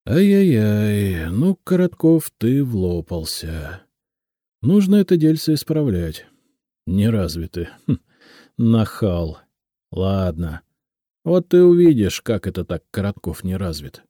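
A man's voice: fairly low pitch, sing-song, slow pace, dark tone, no echo, studio quality, full character voice. A man voicing a timid, flustered, naive office clerk with a hesitant, exclamatory manner, in a story reading. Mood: rueful, indignant. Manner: hesitant, exclamatory, muttering to himself.

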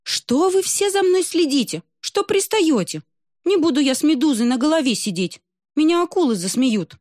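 A woman as a capricious mermaid child, high whiny pout, dry close sound. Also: sing-song, moderate pace, darkish tone, dry recording. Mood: indignant. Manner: high, whining, pouty.

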